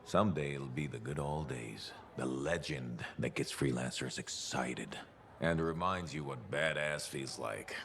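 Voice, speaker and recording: A man's voice: extremely low pitch, a little slow, gravelly, fairly bright tone, full character voice. A man playing a boastful older veteran fighter in a video game, telling war stories with relish. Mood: proud, boastful. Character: boastful gruff old veteran freelancer man. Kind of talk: video game cutscene acting.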